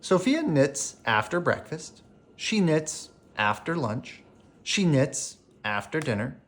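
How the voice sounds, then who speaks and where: A storyteller reading a children's picture book aloud in a deliberate, animated way, as for bedtime. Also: medium pitch.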